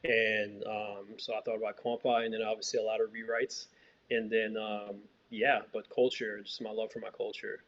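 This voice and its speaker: A man from Haiti, a filmmaker, answering interview questions in a relaxed, rambling way with many fillers and restarts. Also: on the low side, moderate pace, dull audio. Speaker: filmmaker guest.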